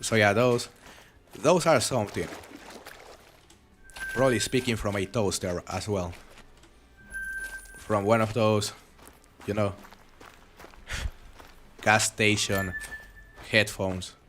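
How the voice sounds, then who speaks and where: Young guy streaming a hunting game, accented, low-ish voice, just rambling to chat. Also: slow, pauses often, faint music behind. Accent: foreign accent. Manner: relaxed, chatty, rambling with frequent restarts. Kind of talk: live game stream commentary reading chat.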